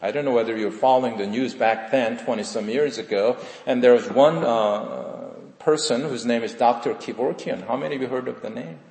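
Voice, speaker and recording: A man from South Korea with a Korean accent, preaching a sermon in earnest, rising for stress and dropping low at the end of phrases. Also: moderate pace, gravelly, few pauses, a little mellow. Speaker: pastor. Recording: echoey hall with long reverb.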